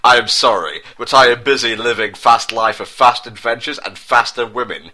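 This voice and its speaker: Man acting a macho cartoon cat, brash, very bright forceful voice, pompous, stilted broken English. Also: mid-range voice, normal speed. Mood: flirtatious swagger.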